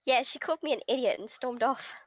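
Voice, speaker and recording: A woman's voice: high voice, quick pace. A woman chatting casually on a live stream and reading visual novel dialogue aloud as they play.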